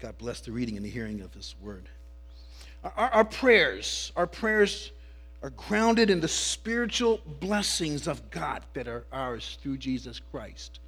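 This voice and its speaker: A man preaching a Sunday sermon as pastor, often clearing his throat and restarting his phrases. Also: mid-range voice, sing-song, a bit bright. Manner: halting, repeats words as he starts phrases.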